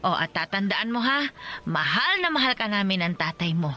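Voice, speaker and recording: A woman's voice: mid-range voice, sing-song, moderate pace, sharp tone, dull audio, soft background music. A woman playing an older, loving rural Filipino mother in a radio drama, fussing and chatty in a Filipino accent. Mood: concerned, tender.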